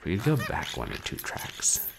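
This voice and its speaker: A man streams a game with casual commentary. His voice is very low and gravelly but swings animatedly, with clear noise in the recording. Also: huge pitch swings, moderate pace, a bit bright. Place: small room indoors.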